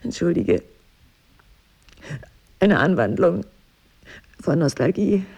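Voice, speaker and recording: Wistful, ironic wife, lower-pitched, lively melodic delivery, teasing, tender, intimate radio play. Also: female voice, slow, vocal fry, slightly rough, full character voice. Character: wry, tender wife of amnesiac husband, quietly wistful. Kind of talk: intimate radio drama acting.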